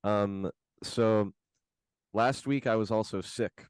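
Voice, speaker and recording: A man co-hosting a podcast, his voice hoarse from screaming, chatting in a laid-back, slangy, rambling way from his bedroom at home. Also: on the low side, slow, dry recording. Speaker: podcast co-host. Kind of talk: casual podcast chat.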